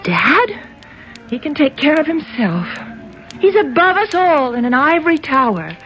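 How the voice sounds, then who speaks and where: A woman's voice: high-pitched, sing-song, unhurried, a bit bright, soft background music, exaggerated voice. A woman playing a headstrong, lovestruck runaway daughter in a radio drama, emotional and scornful. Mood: bitter, pleading. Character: defiant, lovestruck runaway daughter of a powerful man.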